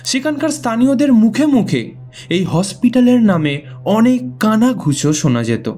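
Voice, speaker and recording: Man, high-pitched voice, mellow tone, suspenseful horror narration, deliberate pauses, music underneath. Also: very animated. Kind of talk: dramatic scripted ghost story narration. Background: background music.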